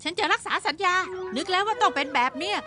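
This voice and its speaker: A woman voicing an eager, helpful male robot cat in a Thai cartoon dub, excitable and prone to flustered stammering. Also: very high voice, fast talker, slight background noise. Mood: eager, proud. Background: music.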